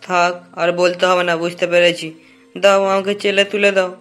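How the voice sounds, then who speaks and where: A man's voice: high-pitched, little pitch movement, moderate pace, a bit bright, faint music behind. A man voicing a hapless, timid young male prisoner in a comic cartoon, pleading and whiny. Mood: nervous pleading.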